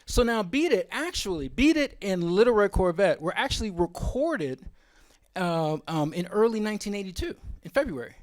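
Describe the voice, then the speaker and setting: A man's voice: high voice, sing-song, moderate pace. A man presenting at a symposium in an informal, opinionated way, often wandering into asides.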